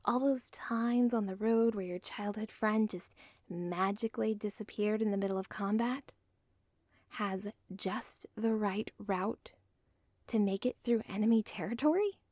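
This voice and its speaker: A woman's voice: on the high side, a little mellow, no room sound, clean studio sound. A woman voicing an unstable, lovestruck young villain's daughter in an ASMR role play, speaking in an intimate, pleading whisper. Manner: intimate, pleading, self-aware.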